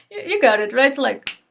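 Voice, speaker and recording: Woman, Portuguese native speaker, easygoing teacher tone, slightly high with gravelly fry. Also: measured pace. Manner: relaxed, chatty, frequent "right?" check-ins and light laughs. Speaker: energy work teacher. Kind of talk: casual instructional talk to camera.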